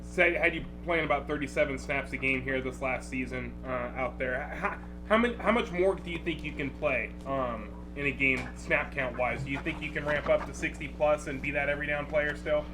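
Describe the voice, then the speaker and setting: A man's voice: a little high, fairly quick, over music, poor audio. A man, a sports reporter asking conversational press questions, restarting and rephrasing as he goes.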